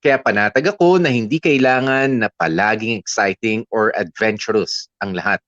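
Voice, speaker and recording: A man's voice: lowish voice, moderate pace, fairly bright tone, no room sound, lo-fi sound, lightly acted. A man acting a young boyfriend who fondly narrates his own love story, reflective and conversational, with a Filipino accent. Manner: reflective, conversational, mixes English and Tagalog. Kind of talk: first-person scripted story narration.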